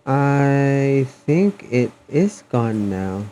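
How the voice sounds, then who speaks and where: Slow-talking, hesitant male streamer. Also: mid-range voice, big pitch swings, mellow. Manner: hesitant, halting. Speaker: game livestreamer. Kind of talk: casual stream chat.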